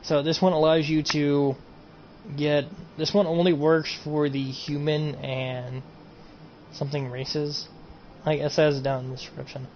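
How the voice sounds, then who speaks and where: A man's voice: on the high side, little pitch movement, measured pace. A man streaming a casual game mod showcase, rambling and repeating himself as he trails off. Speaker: gaming streamer. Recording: dull lo-fi home recording, faint noise.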